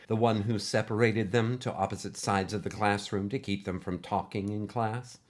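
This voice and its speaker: A man reading a scripted story as narrator, with expressive, deliberate phrasing. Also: fairly low pitch.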